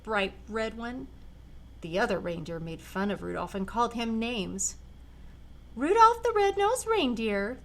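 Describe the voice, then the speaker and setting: An older woman, a grandmother, reading a children's picture book aloud in a gentle, expressive way. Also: a little high, creaky, slight background noise. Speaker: storyteller grandmother.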